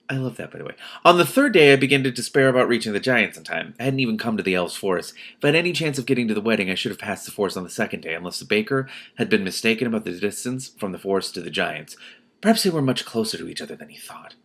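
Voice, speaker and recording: A man's voice: on the low side, vocal fry, fairly bright tone. A man reading a novel aloud expressively, breaking off to comment and exclaim in his own words. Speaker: reader and commentator, host of a read-aloud series.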